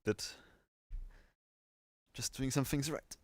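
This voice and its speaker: A man's voice: mid-range voice, takes it slowly. A man chatting casually as the host of a livestream about his video editing work. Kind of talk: casual chat.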